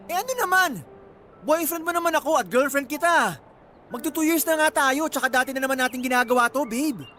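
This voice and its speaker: High-voiced, pushy young Filipino boyfriend. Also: male voice, brisk, sharp tone, a little hiss or hum. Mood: sulky, annoyed. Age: young adult. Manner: coaxing, insistent. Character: pushy, sulky, sweet-talking young college boyfriend. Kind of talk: Tagalog radio drama acting.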